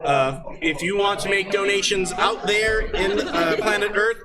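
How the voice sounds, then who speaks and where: A man hosting a live game show as game master, speaking off the cuff in a halting, joking way. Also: high voice. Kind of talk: unscripted live stage introduction. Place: convention hall with live audience. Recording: loud crowd, live convention stage.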